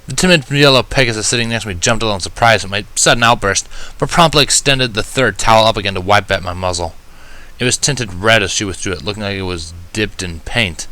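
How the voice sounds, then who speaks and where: Bright, forceful male story narrator. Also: fairly low pitch, quick pace, poor audio. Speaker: fan fiction reader. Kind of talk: expressive first-person story narration.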